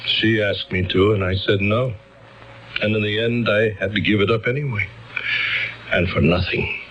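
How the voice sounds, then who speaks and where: A man's voice: on the low side, moderate pace, close to an everyday voice. An actor playing an anguished, lovesick male lawyer in a radio drama, with an earnest, dramatic delivery. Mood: weary regret. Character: anguished, lovesick lawyer accused of aiding an escape. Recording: dull radio recording under loud music.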